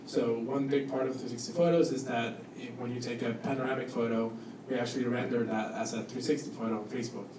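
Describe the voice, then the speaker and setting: A man's voice: mid-range voice, steady tone, quick pace, few pauses. A man from Cuba and Costa Rica gives an informal, somewhat hesitant introduction as a guest speaker at a panel talk. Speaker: guest speaker, product designer. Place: indoor event room with audience. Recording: echoey, noisy room, distant microphone.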